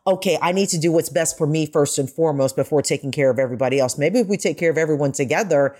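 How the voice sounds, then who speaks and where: Low-voiced, earnest woman on a podcast. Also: quick pace, dark tone. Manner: earnest, emphatic. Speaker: podcast panelist. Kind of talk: conversational commentary.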